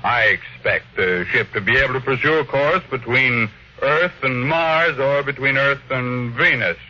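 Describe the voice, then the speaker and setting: An actor playing a domineering, impatient millionaire industrialist with a brusque, commanding manner in an old-time radio drama. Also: male voice, mid-range voice, unhurried, sharp tone, dull audio. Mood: assured, public. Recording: noisy, band-limited old radio recording.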